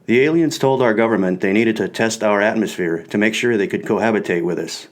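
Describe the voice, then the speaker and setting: A man's voice: on the low side, brisk. A man reading his own book as scripted audiobook narration, in the first person.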